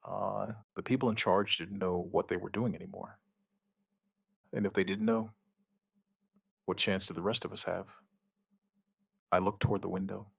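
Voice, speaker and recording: A man narrating a scripted first-person survivor horror story, gravely and in short, measured phrases with dramatic pauses. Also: low voice, steady tone, dry recording, studio quality.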